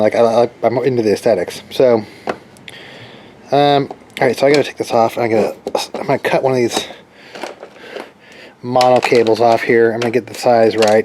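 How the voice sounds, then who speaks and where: Casual rambling man over clear background noise. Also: medium pitch. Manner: rambling, informal, thinks aloud. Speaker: DIY radio hobbyist presenting own project. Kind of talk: casual, unscripted project narration.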